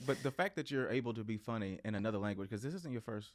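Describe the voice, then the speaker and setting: A man's voice: on the low side, lively intonation, very fast, no echo, studio quality. A man hosting a podcast, asking follow-up questions in a joking, stop-start way. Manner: restarts, jokey, asks follow-up questions. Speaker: podcast host. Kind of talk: casual podcast interview.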